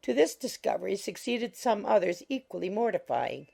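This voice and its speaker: A woman narrating a classic novel as a scripted audiobook, in a measured, formal storytelling manner. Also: mid-range voice, big pitch swings, vocal fry, a little mellow, husky.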